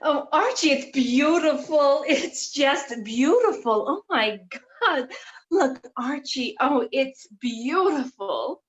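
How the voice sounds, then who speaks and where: A woman plays a seductive con artist in a stage comedy. She gushes and coaxes in a high, slow, scheming voice, captured in a slightly muffled recording. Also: lively intonation. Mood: gushing. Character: Wanda, seductive, scheming romantic grifter. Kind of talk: comic stage readers theater acting.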